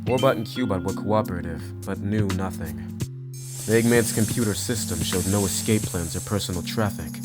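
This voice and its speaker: A man's voice: on the low side, moderate pace, natural voice. A man voicing a stern, earnest, principled detective in a comic dub, speaking in a formal, precise and serious way. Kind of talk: comic dub character acting. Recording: music behind the voice.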